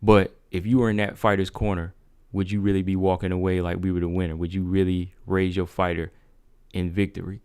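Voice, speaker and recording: A man's voice: low voice, flat delivery, a little fast, a little mellow, clean tone, no room sound, clean studio sound. A man hosting a casual MMA livestream, asking his viewers rhetorical questions. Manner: talks straight to viewers, asks rhetorical questions. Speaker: MMA talk show host.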